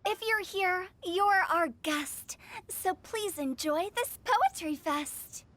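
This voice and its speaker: A very high, youthful girl's voice for a game. She is a playful, theatrical host who announces things with sing-song showmanship. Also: slow, a bit bright, exaggerated voice. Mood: upbeat announcing. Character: playful teenage girl event host, rhyming and theatrical. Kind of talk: video game voice acting.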